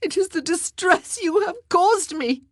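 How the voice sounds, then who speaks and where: A woman's voice: expressive, a little slow, dry recording. A woman voicing an anguished young queen who screams and sobs in jealous outbursts, in a dry, close recording. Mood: distraught. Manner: sobbing, high, screaming outbursts.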